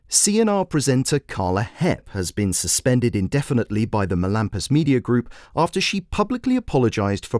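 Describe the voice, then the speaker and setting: A man's voice: mid-range voice, a little mellow, no room sound, studio quality, lightly acted. A man playing a formal male radio newsreader delivering a bulletin. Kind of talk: news bulletin.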